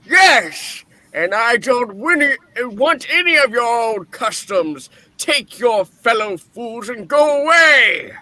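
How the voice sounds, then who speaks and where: A man's voice: very high voice, animated, takes it slowly, few pauses, sharp tone, no room sound, full character voice. A man playing a cranky, miserly old boss in a radio-play reading, blustering and snappish with bitter scorn. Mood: angry.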